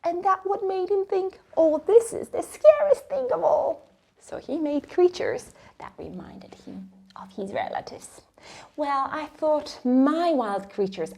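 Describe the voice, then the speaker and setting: Female craft presenter, high voice with lively swings in pitch, encouraging and storyteller-like, informal demonstration style.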